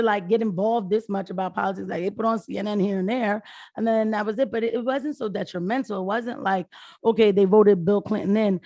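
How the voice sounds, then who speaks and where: Hoarse American woman in her thirties, dry sound. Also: medium pitch, mellow, no echo. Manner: informal, rambling. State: hoarse after being sick. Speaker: political video commentator. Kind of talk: casual talk to viewers.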